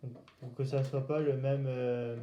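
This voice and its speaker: Monotone, hesitant man in echoey room. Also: medium pitch, lo-fi sound. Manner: hesitant, halting, searching for words. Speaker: gaming video host. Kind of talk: casual gameplay commentary. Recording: roomy echo, faint background noise.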